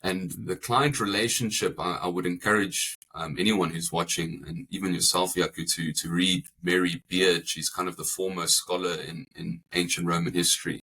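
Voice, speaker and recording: A man's voice: low-pitched, fairly even intonation, moderate pace, few pauses, pushed and bright, breathy edge, rough recording. A man with an English South African accent, a family insurance broker speaking thoughtfully in an interview and often repeating words as he builds his point. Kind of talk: interview conversation.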